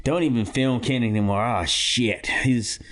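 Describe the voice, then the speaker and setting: A man co-hosting a podcast, talking in a loose, animated way, repeating himself and swearing casually. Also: mid-range voice, unhurried. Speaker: podcast co-host. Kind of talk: casual chat.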